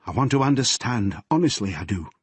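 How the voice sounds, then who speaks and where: Audiobook figure: male narrator doing a stern copper dad, measured, husky, lively pitch. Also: dry recording. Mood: concerned, firm. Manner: firm, measured, controlled. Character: strict, stern middle-aged police detective father.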